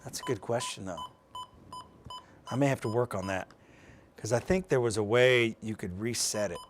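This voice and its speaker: A man's voice: on the low side, huge pitch swings, moderate pace, faint music behind. An American man giving an informal live demonstration, explaining off the cuff in a folksy, conversational way and thinking aloud as he goes. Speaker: presenter of a weather radio programming demonstration.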